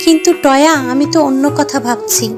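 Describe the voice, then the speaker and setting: A woman plays a worried cartoon animal mother who toils as a housemaid. Her voice sits very high and sounds plaintive and outspoken. Music plays clearly underneath. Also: brisk, natural voice. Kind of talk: children's cartoon voice acting.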